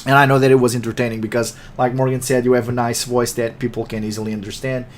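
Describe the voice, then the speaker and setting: Raspy man, english, mid pitch, fast rambling host. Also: flat delivery, a little hiss or hum. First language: Portuguese. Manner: fast-talking, self-conscious, rambling. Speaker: podcast host and game developer. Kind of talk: casual interview chat. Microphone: computer microphone.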